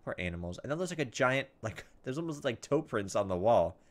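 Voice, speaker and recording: A man's voice: medium pitch, a little fast. A man playing through a game as host, reading its text aloud and dropping in informal, muttered asides. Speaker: let's play host. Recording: steady background noise over the voice.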